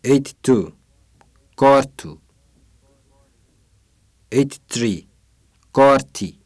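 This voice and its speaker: Guy reading out numbered single words, really slow, mid pitch. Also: expressive. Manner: deliberate, isolated words. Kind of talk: reading numbered word list aloud.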